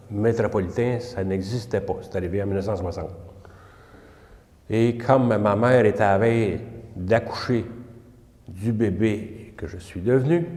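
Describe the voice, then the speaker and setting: An older man with a Quebec French accent, recalling his childhood in a relaxed, hesitant way. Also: lowish voice. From Quebec, Canada. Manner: relaxed, hesitant, many pauses. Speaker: interviewee. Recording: echoey room with long reverb.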